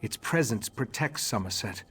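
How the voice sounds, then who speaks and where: An actor playing a grave, remorseful elven mage leader in a fantasy game, speaking formally with measured, slightly husky delivery. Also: male voice, mid-range voice, animated, fairly bright tone. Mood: measured, informative. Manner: measured, formal, solemn exposition. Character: grave elderly male high elf mage leader, remorseful and scholarly.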